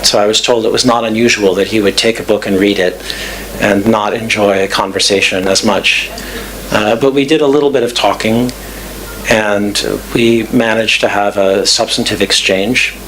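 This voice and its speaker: A man giving thoughtful, measured answers at a talk's question session, pausing with fillers and restarts. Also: fairly low pitch, steady tone, a bit bright, a little hiss or hum, rough recording. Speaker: guest speaker. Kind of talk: lecture Q&A answers. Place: club event room.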